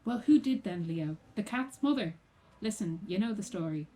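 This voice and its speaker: Woman playing mellow-voiced, exasperated factory supervisor. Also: mid-range voice, animated, moderate pace, lightly acted, poor audio. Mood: stern. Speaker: actor in a radio play. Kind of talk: radio play acting.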